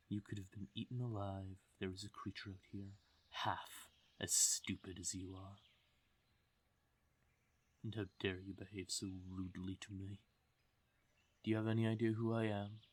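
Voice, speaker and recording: A man's voice: fairly low pitch, breathy edge. A man voicing a haughty, teasing fae king of the forest in a fantasy audio roleplay, talking down to the listener with theatrical menace and dropping often into a whisper. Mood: menacing, belittling, then haughty, amused. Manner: condescending, slow purring menace, theatrical.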